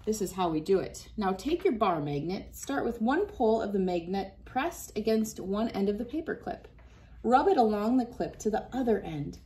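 A woman in her thirties with a mid-pitched voice reads a children's book aloud in an instructive way. She breaks off for casual asides to her young audience, speaking indoors in a small room a little way from the mic. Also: lively intonation, normal speed, warm tone. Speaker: children's read-aloud host.

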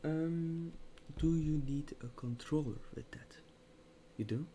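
A young man plays a store clerk in a soft-spoken ASMR roleplay. He talks very slowly and quietly, in short phrases with pauses. The recording has clear background noise. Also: fairly high pitch. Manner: slow, hushed, with pauses. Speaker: ASMR creator playing a game store clerk. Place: small room at a desk, indoors.

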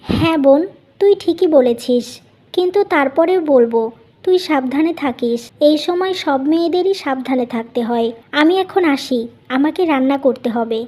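Woman playing a high-voiced, caring elder sister. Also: moderate pace, close to an everyday voice. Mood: worried, caring. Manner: gentle, solicitous. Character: kind, caring, patient elder sister and sister-in-law.

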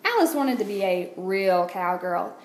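A woman, a teacher, reading a children's picture book aloud with expressive, deliberate phrasing. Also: medium pitch. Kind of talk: read-aloud storytelling for children. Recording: roomy echo, light background noise.

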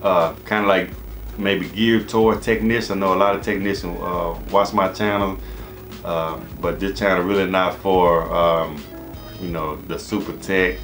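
An American man with a deep voice gives informal advice as a channel host. He rambles and repeats himself in a casual, conversational way. Music plays behind his talk. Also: fairly even intonation, normal speed, a bit bright. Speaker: HVAC business coach and channel host. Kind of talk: casual advice monologue to camera. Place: small indoor office.

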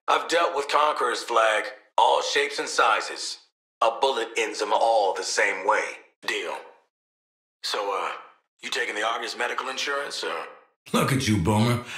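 A man's voice: lowish voice, sharp tone, roomy sound, exaggerated voice. An actor with an American accent playing a cocky, world-weary assassin antihero in a video game, wry, blunt and streetwise in his delivery. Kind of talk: scripted video game voice lines.

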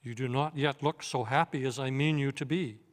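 An older man voicing a great noble lion in a read-aloud storybook, speaking slowly, softly and solemnly. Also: mid-range voice, long reverb tail, close to an everyday voice. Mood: gentle. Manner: slow, soft and solemn.

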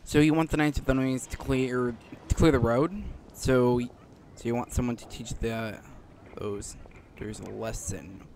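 A man's voice: mid-range voice, fairly even intonation, measured pace, creaky, a little mellow, a little hiss or hum. A man hosting a gameplay video, giving casual commentary and reading dialogue aloud. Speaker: gaming video host. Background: game music.

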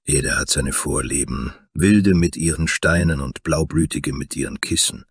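A man voicing a gruff, blunt male warrior or smith in a fantasy story, with a rough voice. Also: very deep voice, normal speed, no room sound, studio quality, voice pushed down, exaggerated voice. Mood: deadpan. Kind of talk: fantasy audio story character.

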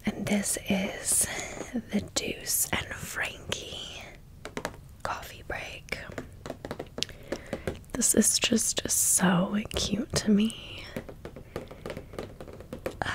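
Female ASMR host, gentle and chatty, whispering throughout at a very unhurried pace. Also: mid-range voice. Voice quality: breathy unvoiced whisper. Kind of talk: whispered ASMR product show-and-tell.

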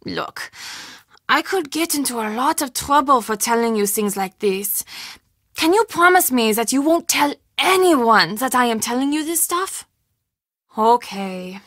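A friendly, superstitious woman who keeps a castle shop in a mystery video game. Her voice is bright and a bit high, recorded dry and close. She speaks in an expressive, confiding way. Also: measured pace, dry recording. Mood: hushed, confiding.

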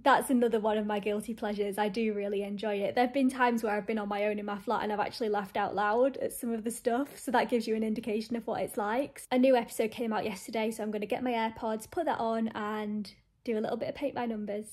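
A young woman from the UK chatting casually to camera about her week in her flat, upbeat and laughing often. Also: fairly high pitch, fast, hardly any pauses. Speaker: vlogger. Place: small flat living room and kitchen, indoors.

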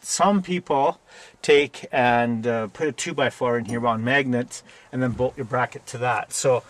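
A man with a Canadian accent giving a casual, unscripted hands-on tutorial in a plainspoken, halting way. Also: mid-range voice, a bit bright. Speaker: DIY video presenter.

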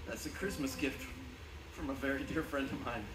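A man's voice: mid-range voice, normal speed, lightly acted. A man playing an overjoyed, tender husband and father in a live staged radio play. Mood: warm, moved. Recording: echoey hall from far off, noisy.

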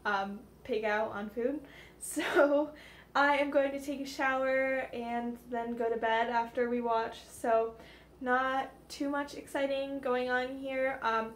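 A woman's voice: high voice, fairly even intonation, takes it slowly, slight background noise. A young woman chatting upbeat to a phone camera held at arm's length, with many fillers, indoors at home and outside at a beach. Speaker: vlogger. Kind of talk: casual vlog chat. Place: home bathroom and kitchen, sunny beach outdoors.

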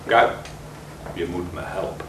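A man's voice: deep voice, a little slow. A man voicing a sensitive male pig who cannot get angry, talking in a plaintive, easily hurt way. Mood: pleading. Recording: echoey room, mic far off, noisy.

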